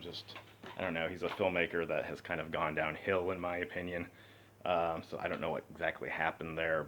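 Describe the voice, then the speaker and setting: A man with a very low voice talks his way through a cassette unboxing in a loose, rambling way, full of fillers and upbeat asides. Faint clicking and typing sit behind him. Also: fairly bright tone, a little hiss or hum. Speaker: music collector vlogger. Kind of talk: casual unboxing chat.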